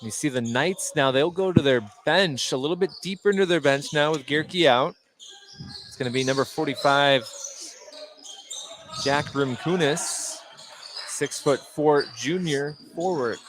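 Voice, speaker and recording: A man with a somewhat high voice calls a basketball game play by play. He is in a gym, with clear ambient noise and ball bounces behind him. It is a live radio-style sports commentary. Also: a little slow, fairly bright tone. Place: at courtside in an indoor gymnasium.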